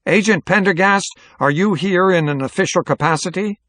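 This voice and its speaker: A man's voice: sits high, moderate pace, no echo, pitched above the performer's own voice. Man acting a blustering, angry small-town mayor. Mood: flustered, raised voice. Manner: pompous, loud, commanding.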